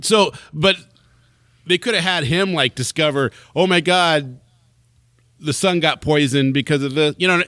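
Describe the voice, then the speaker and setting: A man's voice: a little high, measured pace, pushed and bright, no room sound, clean studio sound. A man co-hosting a podcast, chatting casually about comics, rambling and swearing, laughing often. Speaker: podcast co-host.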